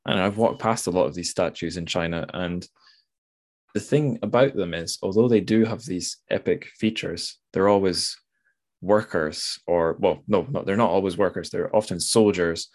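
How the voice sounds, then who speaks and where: Deep-voiced man discussing books casually. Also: normal speed. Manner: thinking aloud, self-correcting. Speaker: podcast host.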